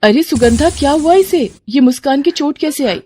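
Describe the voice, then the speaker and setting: A woman's voice: medium pitch, normal speed, a little mellow, a little hiss or hum, lightly acted. A woman playing an earnest, kind young mother from next door in a domestic story. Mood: concerned.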